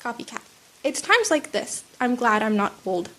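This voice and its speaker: A woman's voice: fairly high pitch, very animated, moderate pace, pushed and bright, full character voice. A woman reading the lines of a smug, snarky teenage boy.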